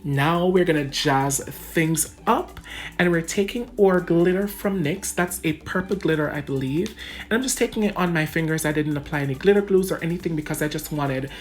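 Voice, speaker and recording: Woman, Jamaican accent, deep voice, chatty makeup vlog talk, soft music underneath. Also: normal speed. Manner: chatty, addresses viewers as guys, laughs often. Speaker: beauty vlogger. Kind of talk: casual makeup tutorial narration. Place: home room under bright lights.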